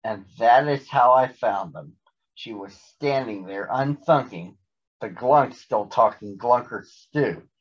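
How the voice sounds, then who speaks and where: A man's voice: mid-range voice, slow pace, husky. A man in his thirties reading a story aloud for children, recorded at home in a small room. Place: small bedroom at home, indoors. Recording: slightly dull, muffled home recording.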